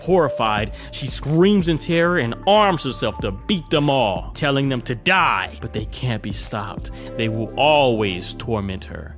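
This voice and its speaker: A man's voice: on the low side, a bit bright. A man reading scripted video essay narration in a dramatic storytelling manner. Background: background music.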